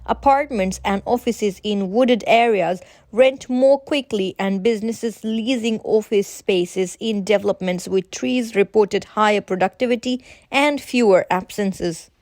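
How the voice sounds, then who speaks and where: A woman's voice: medium pitch, measured pace, darkish tone, no echo. Woman reading formally, dry close sound. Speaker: narrator of model read-aloud answers. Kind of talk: scripted read-aloud narration.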